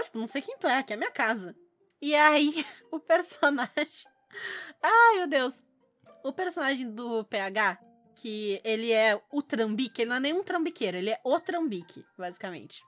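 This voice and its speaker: Female podcast co-host, southern Brazilian accent. High pitch, casual animated storyteller, slangy, music bed. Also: brisk. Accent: southern Brazilian Portuguese from Rio Grande do Sul. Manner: animated anecdote telling with slangy speech.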